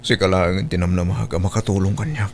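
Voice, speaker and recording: Actor as humbled Ilocano man begging for help, radio drama. Low voice over clear guitar music. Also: fairly even intonation, fast talker. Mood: regretful, pleading. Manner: pleading. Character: broke, regretful man begging for work, humbled.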